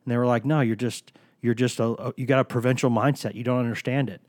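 A man's voice: mid-range voice, steady tone, quick pace, a little mellow, dry recording. A man hosting a casual sports podcast from a studio, talking while he has a cold, rambling and joking as he tells stories. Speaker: podcast host.

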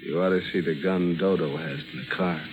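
An actor playing a menacing, boastful gangster killer in 1940s radio crime drama style. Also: male voice, low voice, steady tone, a little fast, narrow, tinny audio, faint music behind, lightly acted. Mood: gloating. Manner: taunting, boastful. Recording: vintage 1940s radio broadcast.

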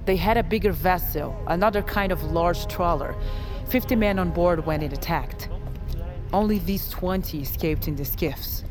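A blunt, unflappable woman of a ship's crew , played by an actress. Her voice sits fairly low, and she talks like a hardened translator in an audio drama. Music plays clearly behind her. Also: normal speed, lightly acted. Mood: grave, matter-of-fact.

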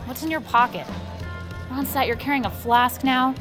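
An actress playing a blunt, exasperated bar owner in an audio drama, scolding in a no-nonsense way. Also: female voice, high-pitched, moderate pace, natural voice. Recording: music behind the voice.

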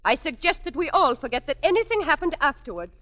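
An actress playing a vain, scheming opera diva in haughty, theatrical 1940s radio style. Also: female voice, high-pitched, big pitch swings, quick pace, muffled recording. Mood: coldly scheming. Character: imperious, vain, scheming opera prima donna. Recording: old 1943 radio broadcast, long hall reverb.

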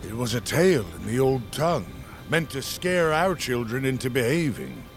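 An actor playing a humble, deferential lowland storyteller serving a young master in a fantasy audio drama, with formal, old-fashioned phrasing. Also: male voice, very animated, normal speed. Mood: storytelling, grave. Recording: music under the voice.

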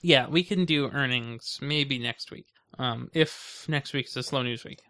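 A man's voice: mid-range voice, measured pace, bright, forceful tone. A man co-hosting a podcast in casual banter with a dry, sardonic manner. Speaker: podcast host.

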